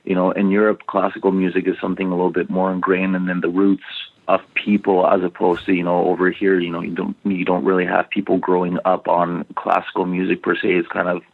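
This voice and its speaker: Deep-voiced man on a tinny phone line. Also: monotone, fairly quick, gravelly, no room sound. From Poland. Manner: rambling, many "you know" fillers. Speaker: bassist and backing vocalist, guest. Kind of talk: casual interview answers.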